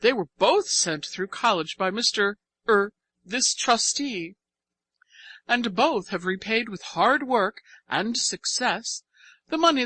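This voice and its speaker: A woman voicing a self-important orphanage matron, formal and measured, in an audiobook. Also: medium pitch, a bit bright, dry recording, pitched above the performer's own voice. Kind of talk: audiobook character voice.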